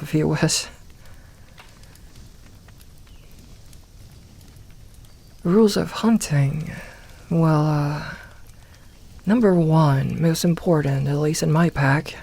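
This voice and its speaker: A woman voicing a gentle, comforting young werewolf in a soft ASMR roleplay, talking intimately and reassuringly to one listener with light humour. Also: low-pitched, husky, exaggerated voice. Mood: fond, reflective. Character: gentle, comforting young female werewolf, playful self-styled lone wolf.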